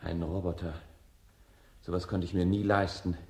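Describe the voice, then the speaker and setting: A man's voice: sits low, flat delivery, moderate pace, a little mellow. An actor playing a mild, conscientious hobbyist father in a 1970s German radio play. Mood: astonished, firm. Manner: mild, placating. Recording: vintage radio recording, roomy, faint noise.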